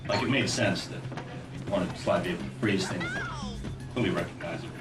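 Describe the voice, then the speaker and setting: Deep-voiced man, agreeable, laughing. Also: fast talker. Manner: agreeable, laughs often, short echoing replies. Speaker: game developer. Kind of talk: casual developer commentary over gameplay. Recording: roomy, distant mic, game music behind.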